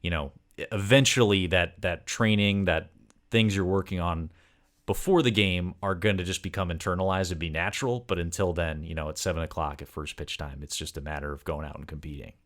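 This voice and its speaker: Man, low-pitched voice, podcast host, conversational, wordy, meandering interview style. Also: fairly even intonation, a little fast, fairly bright tone. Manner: wordy, meandering, multi-part questions.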